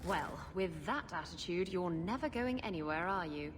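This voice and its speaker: A woman's voice: on the low side, animated, normal speed, close to an everyday voice. An actress playing a breezy female raider captain in a video game, playful and goading. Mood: teasing. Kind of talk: video game cutscene dialogue. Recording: long reverb, loud background noise.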